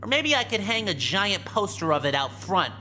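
A man's voice: sits high, big pitch swings, normal speed, pushed and bright, slight background noise, heavily acted. A man playing a creepy, vindictive nerdy teenage anime fan in a visual novel, talking in a pompous, theatrical, cartoonish way. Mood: smug, taunting. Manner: pompous, theatrical, florid wording.

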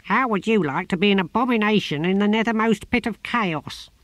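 Om, a raging tortoise god. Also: male voice, high-pitched, a little fast, dry recording, voice pushed up. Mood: imperious, furious. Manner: shouting commands and curses. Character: small old tortoise god, imperious, furious.

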